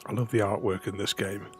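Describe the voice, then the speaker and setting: A man's voice: fairly low pitch, fairly even intonation, moderate pace, darkish tone, faint music behind. A man streaming a game who is losing his voice, rambling casually with many fillers and laughs. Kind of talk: casual gaming stream chat.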